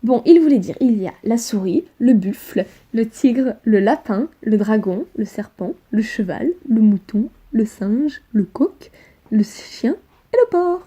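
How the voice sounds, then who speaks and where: A woman's voice: fairly high pitch, lively intonation, a little slow, hardly any pauses, warm tone, husky. A woman co-hosting an online fan theory video, explaining and bantering with a partner.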